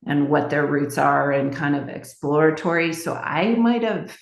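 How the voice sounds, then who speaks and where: A woman's voice: deep voice, animated, moderate pace, creaky, roomy sound. A woman in a peer discussion group, without a pastoral background, who thinks aloud and explores her questions as she talks.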